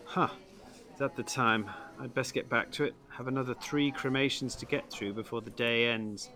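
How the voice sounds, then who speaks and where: An actor playing a village undertaker in an audio drama, avuncular yet cagey, with a wry, brusque manner. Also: male voice, mid-range voice, steady tone, moderate pace, sharp tone, natural voice. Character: blunt, breezy village undertaker, cagey lodge man.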